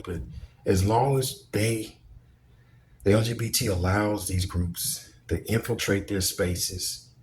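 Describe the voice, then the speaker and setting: A man giving a blunt, profane, opinionated monologue in a deep, projecting voice. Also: a little mellow, a little hiss or hum. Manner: blunt, profane, preachy and emphatic. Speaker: commentary vlogger.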